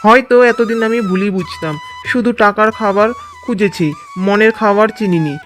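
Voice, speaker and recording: A snobbish young husband in a cartoon village tale, as a voice actor plays him speaks in a mid-pitched voice. Soft music plays beneath. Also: steady tone, moderate pace, close to an everyday voice. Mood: humbled. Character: well-off young husband, once snobbish, then humbled.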